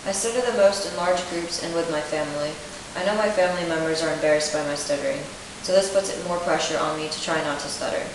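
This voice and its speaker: A young woman playing a self-conscious eighteen-year-old client in a speech therapy interview, reading her answers in a rehearsed, even way. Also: deep voice, quick pace, few pauses, natural voice. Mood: earnest. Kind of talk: scripted role-play. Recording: echoey room, distant mic, steady hiss.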